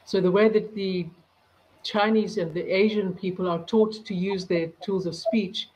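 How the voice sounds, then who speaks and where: A woman from South Africa, an English tutor, explaining things in a measured way on a video call. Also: medium pitch, fairly even intonation, darkish tone, poor audio. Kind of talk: casual video-call chat.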